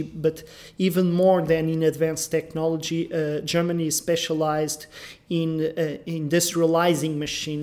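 A man with a Portuguese accent, an economist answering interview questions haltingly in his office. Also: on the high side, a little mellow. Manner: hesitant, many fillers and restarts. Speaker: economist guest.

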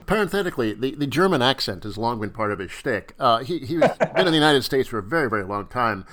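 A man's voice: medium pitch, sing-song, fast, fairly bright tone. A man interviewing in a conversational style, wry and tentative, stammering slightly as he forms his remarks and questions.

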